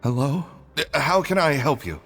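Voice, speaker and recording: A man's voice: fairly high pitch, very animated, moderate pace, a bit bright, soft background music. A man voicing an anxious, defensive receptionist in a video game, polite but hesitant and faltering. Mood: uneasy. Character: anxious, defensive male club receptionist reciting spiel.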